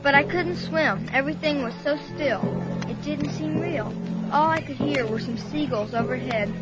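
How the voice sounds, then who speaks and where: Lady doing a high voiced young boy telling a scary adventure story, earnest, muffled old audio with music. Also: moderate pace, lightly acted. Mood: suspenseful. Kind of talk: dramatic story narration. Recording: dull lo-fi recording, music behind.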